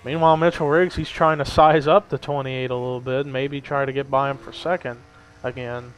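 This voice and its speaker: A man's voice: mid-range voice, level tone, fairly quick, poor audio. A young man giving casual play-by-play commentary on a simulated race, chatty and lifting his energy at the big moments. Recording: faint background noise.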